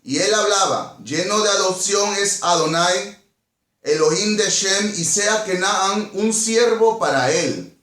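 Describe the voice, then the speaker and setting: A Peruvian man with a slightly high voice, recorded in an echoey room. He teaches scripture in a didactic way, reading verses and then explaining them. He often repeats his phrases and puts questions to his listeners. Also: takes it slowly, fairly bright tone. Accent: Peruvian Spanish. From Peru. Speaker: Bible teacher.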